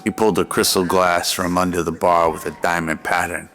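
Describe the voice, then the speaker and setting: A man's voice: low voice, level tone, a little fast, fairly bright tone. A man narrating a scripted noir radio drama. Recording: music behind the voice.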